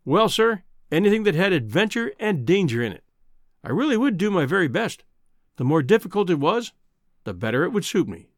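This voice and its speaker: A man's voice: high voice, big pitch swings, fairly quick, no echo, studio quality. A man voicing an earnest, deferential young newspaper reporter in a storybook reading. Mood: eager, earnest. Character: eager, earnest young male newspaper reporter seeking adventure.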